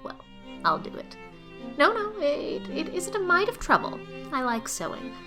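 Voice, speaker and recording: An actress playing a bossy, opinionated middle-aged village gossip who talks briskly and with great self-assurance. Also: female voice, sits high, huge pitch swings, music in the background, exaggerated voice. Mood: helpful.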